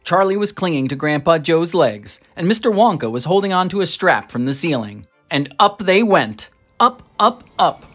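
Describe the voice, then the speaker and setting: A reader narrating a children's book aloud in an animated, scripted style. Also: mid-range voice, moderate pace, soft background music. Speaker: storybook reader.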